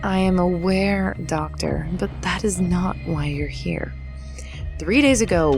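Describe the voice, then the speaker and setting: Woman doing a stern military general lady, lowish voice, measured and in charge, audio drama w/ music under it. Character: stern female army general at a secret base. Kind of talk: amateur audio drama acting.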